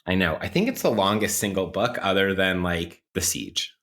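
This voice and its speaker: A man's voice: low voice, normal speed, fairly bright tone. A man hosting a casual, intellectual podcast interview, with academic vocabulary and a playfully mock-formal manner. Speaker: podcast host.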